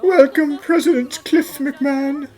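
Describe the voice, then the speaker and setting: A woman's voice: high-pitched, fairly even intonation, measured pace, dark tone, faint music behind. A woman playing a wealthy, imperious madam in a cartoon fan dub, theatrical, effusive and grand. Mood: gracious. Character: gushing, imperious wealthy madam, doting on her pet.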